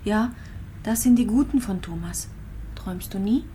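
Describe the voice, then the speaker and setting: A woman's voice: medium pitch, expressive, moderate pace, dark tone, a little hiss or hum, natural voice. An actress playing a lonely, fragile female patient in a radio play, confiding hesitantly and intimately. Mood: uneasy.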